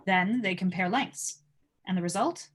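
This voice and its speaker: A woman's voice: mid-range voice, normal speed. Woman narrator, mid-range voice, scripted stage directions, table read delivery.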